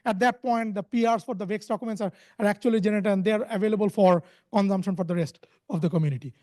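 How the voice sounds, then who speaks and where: A man presenting at a conference as a speaker, in a halting delivery with frequently repeated words and restarted phrases. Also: high-pitched, a little mellow. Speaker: conference speaker, company founder.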